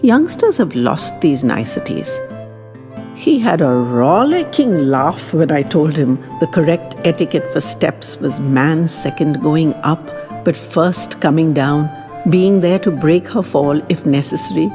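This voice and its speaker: A woman reading a first-person slice-of-life story as scripted narration, in an expressive, conversational way, as if telling it to someone close. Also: deep voice, measured pace. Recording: long reverb, faint music behind.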